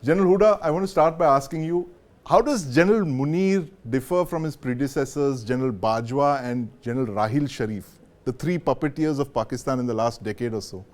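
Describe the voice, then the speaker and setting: A man's voice: mid-range voice, fairly quick, darkish tone. Indian man hosting a news discussion. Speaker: host of a news discussion show. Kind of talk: broadcast interviewing.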